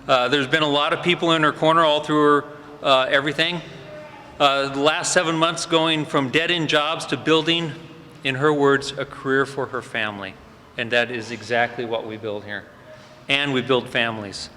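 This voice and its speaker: A man at a fire department ceremony, introducing each graduate with loose, unscripted remarks and plenty of "uh"s. His voice is bright and forceful. It rings through a large hall with long reverb and faint ambient noise. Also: mid-range voice, steady tone, normal speed. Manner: warm off-the-cuff remarks with frequent fillers. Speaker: fire department EMS supervisor presenting cadets.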